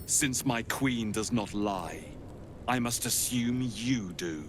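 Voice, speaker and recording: Slow, severe alien warrior zealot. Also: male voice, expressive. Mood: cold, condemning. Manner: solemn, formal, severe. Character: stern alien warrior, zealously devoted to his queen. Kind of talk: dramatic video game acting. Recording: clear rumbling noise behind.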